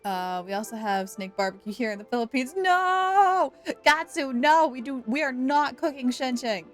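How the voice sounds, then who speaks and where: A woman's voice: a little high, steady tone, brisk, faint music behind. A young woman livestreaming a video game, chatting casually in a playful, giggly, exclamatory way. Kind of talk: casual gaming stream commentary and chat.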